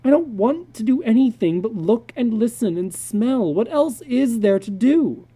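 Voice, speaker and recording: Petulant, defiant little boy for a storybook, voiced by an adult narrator; raised pitch, soft dark timbre, menacing coolness. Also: expressive, a little slow, husky. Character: Peter, sullen, defiant young son.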